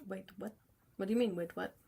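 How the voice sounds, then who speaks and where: A woman's voice: mid-range voice, a little fast, vocal fry, warm tone, husky. A young woman with a French Canadian accent, a native French speaker, chatting casually with viewers on a livestream while she paints, reading the chat aloud and laughing often.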